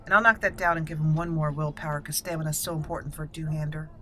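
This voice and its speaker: A woman's voice: low voice, fairly even intonation, racing, sharp tone. A woman hosting a casual game walkthrough, thinking aloud in short fragments as she plays. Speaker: gaming channel host.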